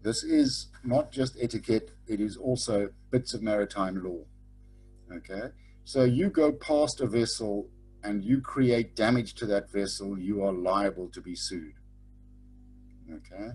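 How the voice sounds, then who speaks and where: A man's voice: on the low side, unhurried, a little mellow, soft background music, low-quality recording. A middle-aged man teaching a sailing lecture over a webcam, blunt and informal, tagging his points with "okay?". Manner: frequent "okay?" tag questions. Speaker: sailing instructor. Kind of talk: online lecture. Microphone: webcam over video call.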